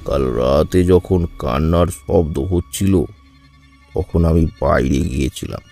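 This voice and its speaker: A man's voice: very deep voice, measured pace, gravelly, dark tone, faint music behind, exaggerated voice. A man voicing a grave, resolute middle-aged village uncle who hunts spirits in a horror cartoon. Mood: grave, suspenseful. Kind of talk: dramatized horror cartoon dialogue.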